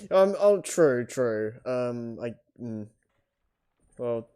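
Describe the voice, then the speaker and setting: A man's voice: medium pitch, animated, drawn-out words, warm tone, smooth. A man co-hosting a podcast in casual, conversational chat.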